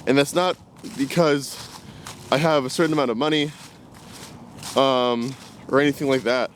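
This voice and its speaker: Male vlogger, twenties, mid-pitched, unscripted and reflective, rambling delivery, recorded outdoors with faint ambient noise. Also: steady tone, moderate pace. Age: 20s. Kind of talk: casual unscripted talk to camera. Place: outdoors, walking in woods. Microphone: camera carried while walking.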